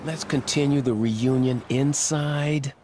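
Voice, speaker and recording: A man's voice: medium pitch, fairly even intonation, takes it slowly, darkish tone, slight background noise, close to an everyday voice. A man playing a cool, tough action bodyguard with a terse, assured manner in a video game. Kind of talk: video game cutscene voice acting.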